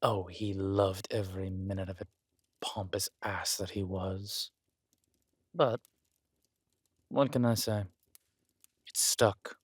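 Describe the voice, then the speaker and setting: A man playing a regal, wry, menacing villain king in a roleplay audio drama, speaking in a measured, intimate, smugly theatrical way. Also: deep voice, steady tone, husky, no room sound, clean studio sound, heavily acted. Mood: musing.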